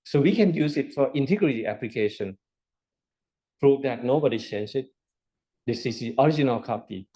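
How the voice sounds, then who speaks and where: A man's voice: mid-range voice, lively intonation, normal speed, pauses often, mellow. A man lecturing a university class, explaining step by step with rhetorical questions and repetition. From Thailand.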